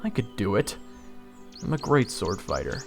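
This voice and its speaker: A man playing a cocky, grumbling young scout and would-be hero, sarcastic and excitable, in an audio drama. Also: on the low side, normal speed, gravelly. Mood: sulky. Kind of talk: audio roleplay drama acting with narration. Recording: long reverb, music under the voice.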